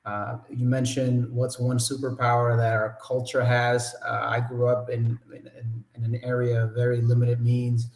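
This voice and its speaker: A man from the Dominican Republic, a panelist speaking in a friendly, steady and upbeat way on a video call. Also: medium pitch, normal speed, few pauses, roomy sound. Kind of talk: casual panel talk.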